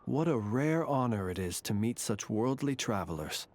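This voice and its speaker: A man voicing a courteous, eccentric graveyard warden in a video game, speaking in a formal, measured, genteel way. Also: medium pitch, expressive, a little mellow, a little hiss or hum, natural voice. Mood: polite, reserved. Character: courteous, eccentric gentleman graveyard warden, calm and faintly eerie. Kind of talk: video game character dialogue.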